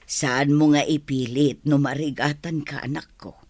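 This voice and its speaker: A man acting in an Ilocano radio drama as a gentle, moralizing village parent who gives earnest advice. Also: fairly high pitch, steady tone, measured pace, no echo, natural voice. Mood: caring.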